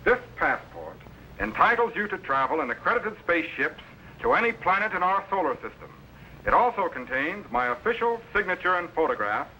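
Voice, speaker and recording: A man playing a brisk, authoritative scientist captain in 1950s television science fiction, instructing in a crisp and kindly way. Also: fairly high pitch, pushed and bright, full character voice. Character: commanding, brisk male scientist captain of a time machine. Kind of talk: 1950s television sci-fi acting. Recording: slightly noisy old 1950s TV soundtrack.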